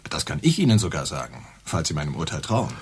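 An actor playing a laconic, matter-of-fact local police officer in a naturalistic radio crime play. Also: male voice, a bass voice, very animated, quick pace, a little hiss or hum. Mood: frank, informative.

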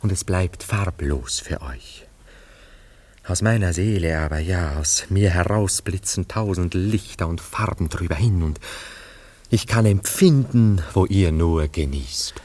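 An actor playing a dreamy, self-absorbed young romantic in a German radio play, speaking in a wistful, lyrical, theatrical way with hesitant pauses. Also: male voice, low voice, darkish tone. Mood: rapt, lyrical. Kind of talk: German radio play acting, literary dialogue.

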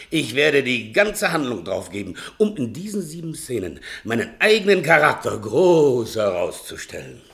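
An actor playing a fat, self-pitying servant who fancies himself a playwright, melodramatic and wheedling, in a 1960s German radio play. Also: male voice, medium pitch, creaky. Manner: melodramatic, wheedling, swinging from hushed to declaiming.

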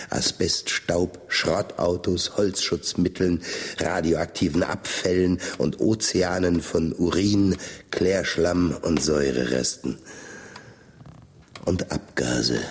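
Radio drama role: weary, sardonic older man, bottom-register voice, husky, dry and resigned. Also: sits low, full character voice. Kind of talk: German radio drama acting, slow monologue.

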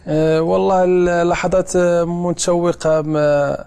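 Male interviewee, Moroccan accent, monotone and creaky, mellow, hesitant delivery. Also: sits high. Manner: halting, searching for words. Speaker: pilgrim interviewee.

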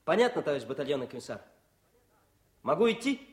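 An actor playing an eager, warm young army journalist in a Soviet radio drama, with music behind him. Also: male voice, fairly high pitch, very animated, fast talker. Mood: pleading, respectful.

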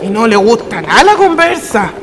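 A man's voice: very high voice, very animated, normal speed. A man playing a blunt, matey country traveller in a radio drama, with clipped colloquial speech. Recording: noisy ambient radio drama recording.

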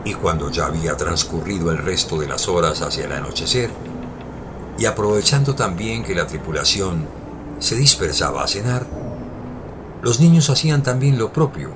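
A man's voice: low-pitched, lively intonation, moderate pace. A man narrating a scripted audio drama in a formal, literary storytelling manner. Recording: clear background ambience noise.

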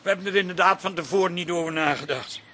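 High-voiced, hesitant, coaxing son. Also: male voice, fast, bright, forceful tone, slight background noise. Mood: placating. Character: awkward, placating grown-up son, smooth-talking flatterer. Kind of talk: Dutch radio comedy drama acting.

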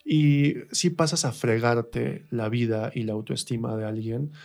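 A man with a central Mexican accent and a mid-range voice speaks as a guest in a relaxed, conversational interview. His manner is animated, and he often repeats phrases to press a point. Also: mid-range voice, a little slow, creaky. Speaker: radio guest, actor and theatre teacher.

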